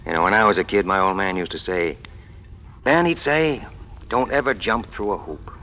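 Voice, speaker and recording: A man's voice: fairly low pitch, moderate pace, a little hiss or hum, lightly acted. An actor playing a hard-boiled, scheming small-time crook who narrates his own rise in clipped, wisecracking slang, in old radio noir style. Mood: needling. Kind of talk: 1940s-50s radio noir acting. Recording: dull old radio recording, music behind.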